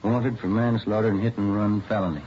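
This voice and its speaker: A methodical American police detective acted by a man talks plainly in a fairly low voice. The vintage radio drama sounds muffled, with clear background noise. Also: fairly even intonation, brisk, mellow, close to an everyday voice. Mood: businesslike. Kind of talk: 1950s radio acting.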